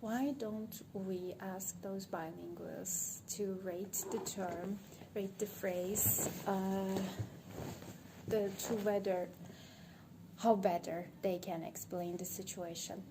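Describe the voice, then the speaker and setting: A woman with a Turkish accent works through an idea out loud, hesitant and pausing often. She speaks slowly in a flat, even tone. Steady ambient noise sits behind her voice. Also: medium pitch. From Turkey. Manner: hesitant, thinking aloud with frequent pauses. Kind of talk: unscripted spoken monologue for social media.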